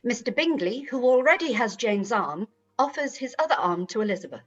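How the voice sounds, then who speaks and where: Female narrator, husky and fairly high, reading radio-play stage directions on a dull lo-fi recording. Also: expressive, a little fast, soft background music.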